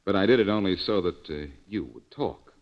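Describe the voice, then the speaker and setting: A man's voice: deep voice, expressive, brisk. A man playing a shrewd, hard-nosed private detective in 1940s radio style, clipped and wisecracking. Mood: sardonic, probing. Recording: dull lo-fi old radio recording.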